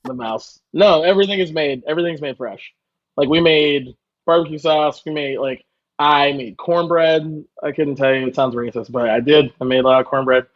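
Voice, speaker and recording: A man's voice: a little high, normal speed. Casual joking man, slightly dull audio. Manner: self-deprecating, joking. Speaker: guest chef. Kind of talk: casual conversational storytelling.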